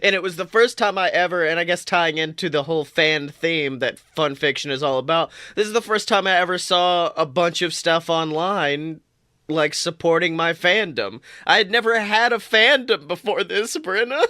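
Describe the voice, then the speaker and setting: Young guy podcast cohost, bright voice, dry close mic, playful and animated. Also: a little high, moderate pace, no room sound. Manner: animated, playful, mock-outraged. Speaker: podcast co-host. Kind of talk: casual chat.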